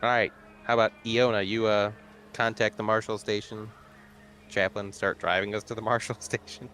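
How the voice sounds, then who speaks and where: A man with a slightly low voice talks casually as a player in a tabletop game. He is dry and deliberate. Faint noise is audible behind him. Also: flat delivery, fairly bright tone.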